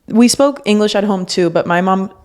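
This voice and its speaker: A woman's voice: medium pitch, expressive, moderate pace, darkish tone. A young woman whose first language is Spanish, co-hosting a podcast in informal, candid conversation. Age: young adult. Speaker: podcast co-host. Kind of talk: casual podcast chat.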